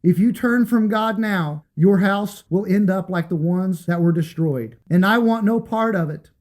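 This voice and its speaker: An earnest, devout counselor. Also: male voice, high voice, a little slow, a little mellow. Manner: earnest, pleading. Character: devout, principled older companion who warns the king. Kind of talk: audio drama acting.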